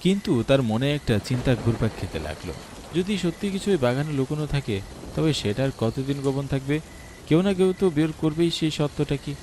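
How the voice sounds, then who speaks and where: A man's voice: mid-range voice, expressive, fairly quick, mellow, slight background noise. A man giving dramatic, descriptive scripted narration of a horror story.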